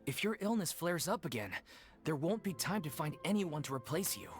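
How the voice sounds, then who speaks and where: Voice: medium pitch, expressive, fairly quick, close to an everyday voice. Actor doing a nervous, apologetic troupe guy, voice rising and falling a lot, faint game music. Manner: anxious and apologetic. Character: worried theater troupe member.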